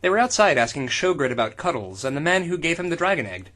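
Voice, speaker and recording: A man's voice: fairly high pitch, big pitch swings, fast, fairly bright tone. A man co-hosting a podcast, giving a comic dramatic reading of fan fiction in an irreverent, sweary manner and breaking off to comment. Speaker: podcast co-host.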